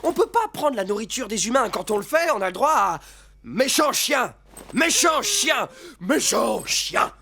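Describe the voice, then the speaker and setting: An actor voicing an excitable, bossy but well-meaning animal in a French cartoon, over music. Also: medium pitch, a little slow, gravelly, full character voice. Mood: frantic, scolding. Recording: loud music behind the voice.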